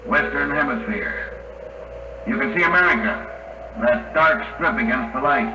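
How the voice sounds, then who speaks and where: An actor playing a resolute, clipped, commanding spaceship leader in a 1950s radio drama. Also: male voice, medium pitch, lively intonation, a little slow, lightly acted. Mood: brisk, steady. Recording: roomy 1950 radio broadcast with loud music.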